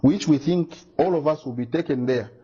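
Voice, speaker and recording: Indignant man, husky and dark. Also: on the high side, moderate pace. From Zambia. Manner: indignant, insistent, repeats points. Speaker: businessman making a complaint. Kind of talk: emphatic accusatory statement to media. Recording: dull lo-fi recording.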